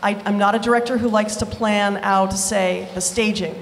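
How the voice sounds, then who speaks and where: A woman's voice: medium pitch, steady tone, normal speed, a little hiss or hum. Woman, theater director, rambling and enthusiastic, long hall reverb. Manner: rambling, self-correcting, enthusiastic. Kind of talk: group discussion.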